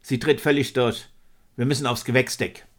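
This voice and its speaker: A man's voice: medium pitch, steady tone, moderate pace, natural voice. A man voicing a hard, curt female head of security in a science fiction story. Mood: hard, alarmed.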